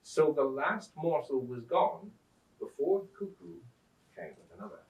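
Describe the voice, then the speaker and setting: A man reading an old tale aloud as a storyteller, in a theatrical, deliberate manner. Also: a little high, expressive, darkish tone, slight background noise. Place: indoors at a museum. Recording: roomy echo, distant microphone.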